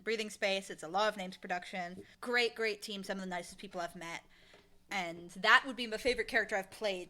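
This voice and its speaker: A woman with a bright, crisp-edged voice at an average pitch answers as an interview guest. She talks casually and effusively, leaning on her words with animated emphasis. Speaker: interview guest, audio drama creator and showrunner. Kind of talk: casual, enthusiastic interview talk.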